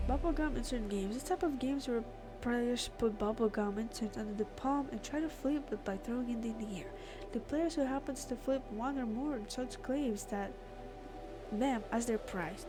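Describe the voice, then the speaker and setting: A woman doing a let's play, reading game text aloud and adding expressive, informal reactions. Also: fairly high pitch. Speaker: gamer, let's player. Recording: clear game music behind the voice. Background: game music.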